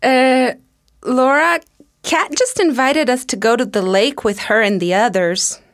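A woman reading a storybook, voicing a shy, nervous teenage girl who speaks in hesitant, halting lines. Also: fairly high pitch, very animated, dry recording, studio quality, pitched above the performer's own voice, exaggerated voice.

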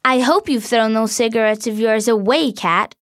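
A woman's voice: on the high side, normal speed, no room sound, studio quality, pitched above the performer's own voice, lightly acted. A woman voicing a mean, bossy teen girl. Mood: sneering. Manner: cold, sneering.

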